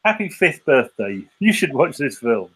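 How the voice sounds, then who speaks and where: A man's voice: medium pitch, big pitch swings, moderate pace, a bit bright, breathy edge. A man in easygoing, chuckling banter during a casual chat. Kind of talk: casual chat over a call.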